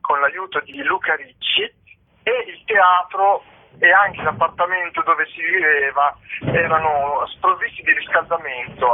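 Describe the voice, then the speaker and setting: A man being interviewed about theatre work over the phone, explaining in a thoughtful, somewhat hesitant way. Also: a little high. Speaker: interviewed theatre company co-founder and artist. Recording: narrow tinny phone line.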